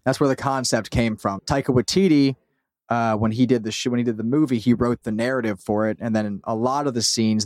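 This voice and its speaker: A man's voice: fairly low pitch, a little mellow, no echo. A man being interviewed as a podcast creator, talking casually and self-deprecatingly, often quoting people as he goes. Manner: informal, self-deprecating, quotes people as he talks.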